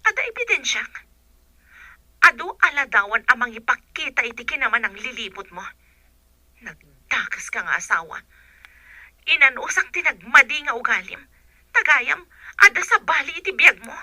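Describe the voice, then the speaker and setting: Woman as betrayed wife, northern Filipino accent, accusing and combative, high and extremely sharp. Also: very animated, moderate pace, long pauses, dry recording, exaggerated voice, low-quality recording. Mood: angry, accusing. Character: wronged wife and mother, demanding, accusing, combative. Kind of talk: radio drama acting.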